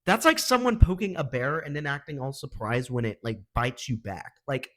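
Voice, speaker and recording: A man's voice: mid-range voice, lively intonation, normal speed, fairly bright tone. A man hosting a reaction video with casual, slangy, opinionated commentary in an exasperated manner.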